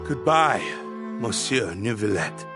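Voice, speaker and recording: A man's voice: fairly low pitch, lively intonation, takes it slowly, over music. An actor playing an anguished, betrayed male official in a dramatic video game scene, emotional and pleading. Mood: resigned. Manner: emotional, pleading, accusatory delivery.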